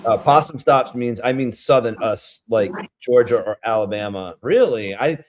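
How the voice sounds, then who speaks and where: A man's voice: medium pitch, normal speed, slight background noise. A man with a Rhode Island accent, chatting casually on a livestream as a beer-review vlogger, rambling a little as he talks. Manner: chatty, rambling, reads out viewer comments.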